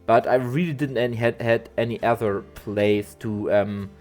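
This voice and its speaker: A man, a bit nasal from allergies, doing a halting voiceover for a game build video with lots of ums and restarts. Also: mid-range voice, fairly even intonation. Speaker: zoo-building game creator. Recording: soft guitar music behind.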